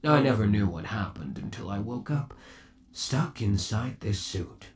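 A man's voice: fairly low pitch, expressive, unhurried, slight background noise. A man playing an undead, obsessive boyfriend trapped in a mechanical suit, sweet-talking and possessive in a theatrical ASMR roleplay. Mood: eerily calm. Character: undead yandere boyfriend trapped in springlock suit, menacingly doting. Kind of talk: ASMR roleplay, scripted monologue to the listener.

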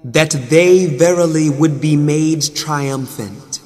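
A man reading a scripture translation solemnly, with formal, measured phrasing and pauses. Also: on the high side, darkish tone. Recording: long reverberant hall echo.

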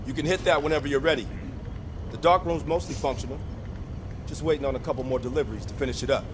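An actor performs a male technician on a covert team in a video game. He talks quickly and informally, plainspoken in manner. Clear ambient noise sits behind the voice. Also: mid-range voice, close to an everyday voice. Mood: casual. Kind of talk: video game cutscene acting.

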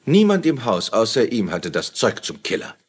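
Male detective, crime drama: low, raspy, dry and sceptical, lively intonation. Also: moderate pace, full character voice. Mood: dry, reasoning. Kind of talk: dubbed TV crime drama acting.

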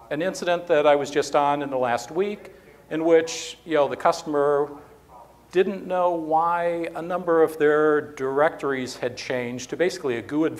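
A man in his fifties giving a technical talk at a conference, speaking conversationally with many fillers, from beside a podium mic in a conference room. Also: a little high. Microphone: podium mic, standing beside lectern.

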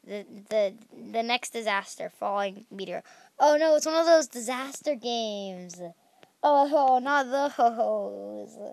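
A woman's voice: fairly high pitch, takes it slowly, vocal fry, darkish tone, no room sound. A young woman hosting a game channel, chatting casually as she plays and squealing at danger, with a slightly stuffy nose. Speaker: gaming channel host.

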